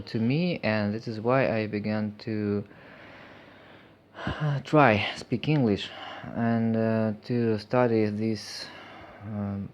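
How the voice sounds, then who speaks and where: Slow, hesitant man with a Russian accent. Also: medium pitch, a little mellow, lo-fi sound. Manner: hesitant, halting, many fillers; reflective and earnest. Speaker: vlogger. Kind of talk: unscripted personal monologue.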